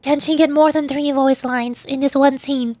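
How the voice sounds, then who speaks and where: A woman's voice: high-pitched, fairly even intonation, normal speed, warm tone, dry recording. A woman VTuber doing live game commentary and reading on-screen text aloud.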